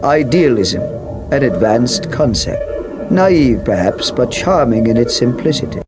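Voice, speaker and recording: An actor playing an ancient, stern and calm alien machine intelligence in a video game, speaking in formal, measured proclamations. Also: male voice, medium pitch, lightly acted. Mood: calm, superior. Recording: loud music under the voice.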